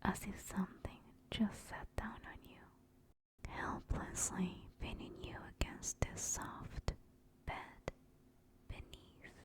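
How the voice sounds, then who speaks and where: A woman narrating a scripted ASMR story in a hushed, intimate, breathy whisper. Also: slow.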